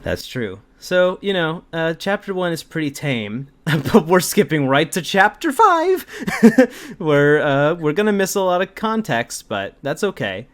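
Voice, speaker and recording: A man's voice: fairly high pitch, sing-song, a little slow, fairly bright tone. A man hosting an amateur fan fiction reading, moving between story narration and amused, joking banter.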